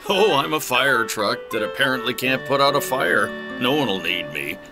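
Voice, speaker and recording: A woman voicing an earnest fire truck character in a children's cartoon, eager but easily discouraged, with an expressive delivery. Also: extremely low pitch, normal speed, exaggerated voice. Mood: dejected. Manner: expressive, exclamatory. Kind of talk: animated children's cartoon dub acting. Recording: music under the voice.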